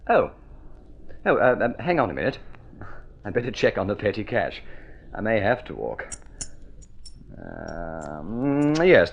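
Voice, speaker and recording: A man playing a flippant young gentleman spendthrift in a mid-century radio drama, chatty and airy. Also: mid-range voice. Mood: breezy. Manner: chatty, airy, polite hesitations. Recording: dull, lo-fi vintage radio recording.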